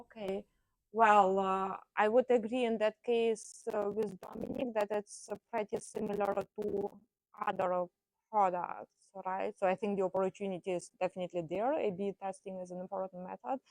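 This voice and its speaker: A woman talks through her ideas on a panel, pausing on fillers and checking in with "right?" as she goes. Her voice sits mid-range, and the sound is dry and close. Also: medium pitch, fairly even intonation, a little mellow, no echo, poor audio. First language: Russian. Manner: thoughtful, frequent "right?" tags and fillers. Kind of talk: panel discussion.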